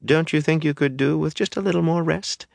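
A man's voice: on the low side, very animated, normal speed, dry recording, clean studio sound, pitched above the performer's own voice. Man doing a motherly village innkeeper lady, storybook style, coaxing but firm, voice lifted and swooping. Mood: firm, soothing. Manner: coaxing, soothing yet firm.

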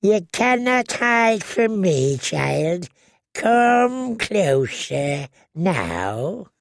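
A man voicing an ancient, tiny dwarf woman seer who speaks slowly and eerily with a croaking, drawn-out voice. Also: sits high, sing-song, no room sound, studio quality, pitched above the performer's own voice, heavily acted. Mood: ominous. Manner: slow, wheedling, prophetic. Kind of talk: audiobook character voice.

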